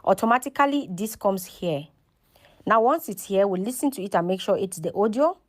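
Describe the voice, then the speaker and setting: A woman's voice: mid-range voice, animated, moderate pace. A woman hosting a casual step-by-step tutorial, talking instructionally and often checking in with "okay" and "right". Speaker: tutorial host.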